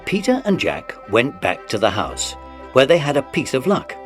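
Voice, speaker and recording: A man narrating a children's story as a scripted audiobook, in an animated storytelling style. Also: medium pitch, moderate pace, soft background music.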